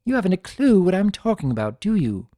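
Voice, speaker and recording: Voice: medium pitch, very animated, brisk, warm tone, dry recording, clean studio sound, pitched above the performer's own voice, close to an everyday voice. A performer voicing an elegant, proud and guarded female unicorn pony in a cartoon fan story, with refined, theatrical and precise diction. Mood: wistful.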